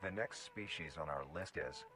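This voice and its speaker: Male narrator, very low voice, formal and measured nature-documentary read, prominent music bed underneath. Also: expressive. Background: music playing under the voice.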